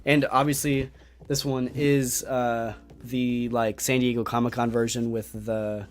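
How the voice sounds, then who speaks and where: A man's voice: mid-range voice, flat delivery, measured pace. A young man hosting a casual livestream chat, talking with enthusiasm and rambling through fillers and restarts. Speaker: host of a toy collecting livestream.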